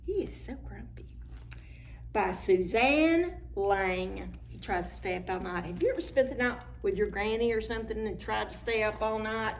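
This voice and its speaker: A woman's voice: mid-range voice, moderate pace, a little hiss or hum. A middle-aged woman librarian reading picture books aloud at a preschool storytime, playful and chatty with the children.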